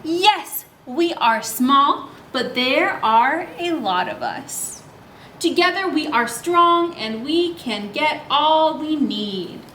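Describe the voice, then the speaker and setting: High and bright, these are tiny storybook forest creatures, as a woman reads them aloud, boastful and bossy. Every declaration is slow, proud and emphatic, made for young children. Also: animated, full character voice. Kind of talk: picture book read-aloud for young children. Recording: roomy echo, faint background noise.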